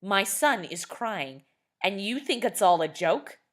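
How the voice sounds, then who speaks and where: A woman's voice: mid-range voice, animated, takes it slowly. A woman voicing an angry neighbor man who bellows and accuses in a storybook reading. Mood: furious. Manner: shouting, indignant.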